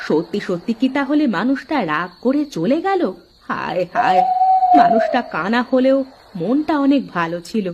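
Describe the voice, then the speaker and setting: A woman's voice: a little high, wildly swooping pitch, moderate pace. A woman voicing a scolding, melodramatic village wife in a cartoon, exclaiming emphatically, in a dull lo-fi recording. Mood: remorseful. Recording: dull lo-fi audio with faint noise.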